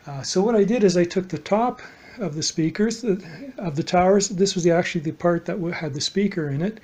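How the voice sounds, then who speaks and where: A man giving a casual, unscripted demonstration as a DIY hobbyist, halting and matter-of-fact, trailing off at times. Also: fairly high pitch, warm tone, dull audio.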